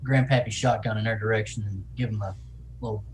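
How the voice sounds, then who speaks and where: A man's voice: fairly low pitch, fairly even intonation, quick pace. A man playing a tabletop game, narrating his character in the third person with wry, folksy phrasing. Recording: faint background noise.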